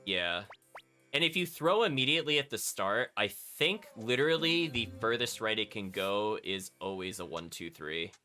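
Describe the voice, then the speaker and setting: A man's voice: lowish voice, lively intonation, normal speed, sharp tone. A man giving casual live commentary as a video game streamer, thinking aloud and chatting to his viewers. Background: game music.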